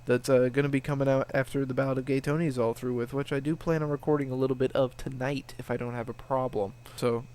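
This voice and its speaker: A man's voice: medium pitch, little pitch movement, fast talker, few pauses, a little mellow. Young guy just rambling thru viewer questions, kinda sniffly and stuffed up, swears a lot, totally chill. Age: young adult. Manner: rambling and laid-back, lots of swearing and restarts. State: sniffly with a sore throat. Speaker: video game walkthrough channel host. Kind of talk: casual answering of viewer questions.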